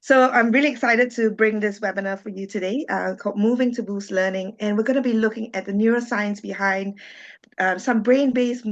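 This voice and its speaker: Woman from Singapore, webinar facilitator, warm and chatty, with a fry in her voice and muffled call audio. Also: medium pitch. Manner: chatty and warm, with frequent ums and small laughs. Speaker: webinar facilitator, social worker. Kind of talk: friendly webinar presentation. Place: home. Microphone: video call. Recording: dull lo-fi video call audio.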